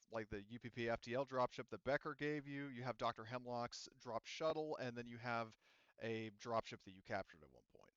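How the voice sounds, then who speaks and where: Casting: male game master, mid-pitched and clear, casual halting explanations, dry, slightly muffled recording. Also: a bit bright, no echo. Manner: halting, thinking aloud. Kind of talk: casual tabletop game narration.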